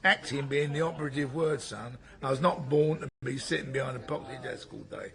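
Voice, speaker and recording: An actor playing a seasoned, measured male detective sergeant in a crime drama, with a dry, steady way of talking. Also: mid-range voice. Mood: wry. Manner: dry, teasing, steady questioning. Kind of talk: naturalistic crime drama dialogue. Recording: clear background noise.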